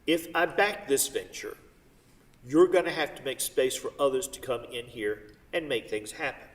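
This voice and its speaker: A man's voice: medium pitch, moderate pace, lightly acted. Man acting a regretful father, distant in a hall. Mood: persuasive. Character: regretful wealthy father and buyer, controlling yet pleading. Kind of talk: staged play reading. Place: theatre hall. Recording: distant mic in echoey hall.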